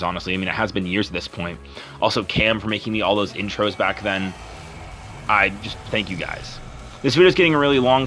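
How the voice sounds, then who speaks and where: Young man, deep low voice, bright edgy tone, earnest, rambling, apologetic, gameplay voice-over. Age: young adult. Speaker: YouTuber and streamer.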